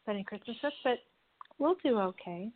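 A woman speaking calmly and plainly as a guest on a radio chat. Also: a little high, moderate pace. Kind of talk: casual chat. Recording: tinny phone line.

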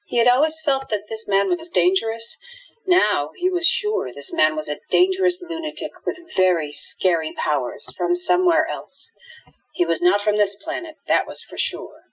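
A woman's voice: fairly low pitch, normal speed, a bit bright, breathy edge, dull audio. A woman reading scripted narration as the narrator of a radio play.

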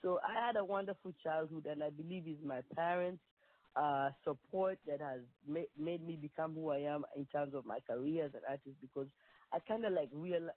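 Kenyan woman on a tinny phone line. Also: low-pitched. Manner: earnest, rambling with many restarts. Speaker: performing artist guest. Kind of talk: phone-in interview.

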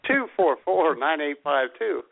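A man hosts a radio show in casual comedic banter. His pitch is mid-range and moves around a lot, with a gravelly creak in the voice. The sound is slightly dull and lo-fi, like compressed audio. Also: lively intonation, a little slow.

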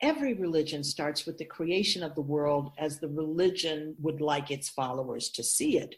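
Low, measured woman as a wise spirit keeper. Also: animated. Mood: solemn, instructive. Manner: measured, deliberate, didactic, solemn. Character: wise ancient spirit keeper of souls, patient, authoritative. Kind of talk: scripted radio drama teaching monologue.

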